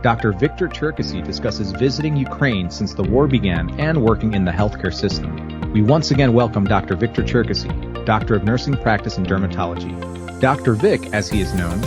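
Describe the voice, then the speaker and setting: A man hosting a podcast, reading an ad script and introducing his guest in a smooth, announcer-like way. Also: lowish voice. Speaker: podcast host. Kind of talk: scripted ad read and interview questions. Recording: music bed under the voice.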